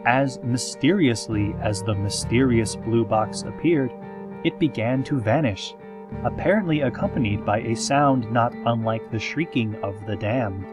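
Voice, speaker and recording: Male actor as small-town radio announcer for a comic horror audio show; formal, unruffled, quietly ominous, deadpan, with music behind. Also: mid-range voice, lightly acted. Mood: calm, ominous deadpan. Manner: deadpan, measured, formal, with drawn-out dramatic phrases. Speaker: community radio host. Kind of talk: scripted radio show narration, parody horror-comedy podcast. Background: soft guitar music.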